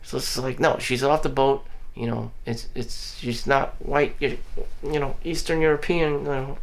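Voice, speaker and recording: A man's voice: medium pitch, steady tone, normal speed. A man talking bluntly in a rambling personal monologue for his vlog, full of "you know" fillers, restarts and casual swearing. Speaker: vlogger. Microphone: audio-only recording.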